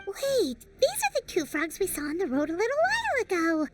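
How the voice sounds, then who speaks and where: Game sprite sidekick, tiny and chirpy, very high squeaky voice. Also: female voice, huge pitch swings, faint music behind, heavily acted. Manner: chirpy, quick remarks.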